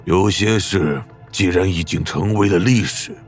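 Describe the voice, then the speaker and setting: Menacing old clan boss, real deep and slow, gravelly, music under it. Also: male voice. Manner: grave, deliberate, commanding. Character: stern, menacing old male clan patriarch and magic minister. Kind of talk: animated audio drama acting.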